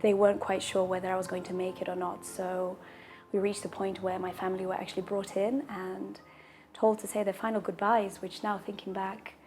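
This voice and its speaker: Husky woman with a flat, even voice. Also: mid-range voice, a little fast, soft background music. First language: Portuguese. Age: 30s. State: taking deep breaths between sentences. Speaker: interviewee sharing a personal story. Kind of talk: personal testimonial.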